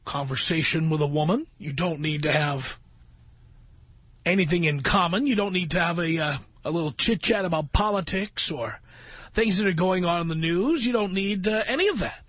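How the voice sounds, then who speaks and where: A middle-aged American man hosting talk radio with an opinionated monologue, speaking in an emphatic, sardonic way with deliberate phrasing. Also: on the high side. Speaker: radio talk show host. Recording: dull, roomy radio recording with music.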